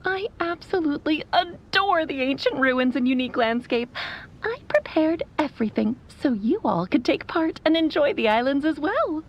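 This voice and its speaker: Playful, animated sorceress mother. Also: high voice, moderate pace. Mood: cheerful, playful. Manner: theatrical, teasing. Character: playful, theatrical, doting sorceress mother speaking through a phonograph. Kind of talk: animated video game dialogue. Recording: music behind the voice.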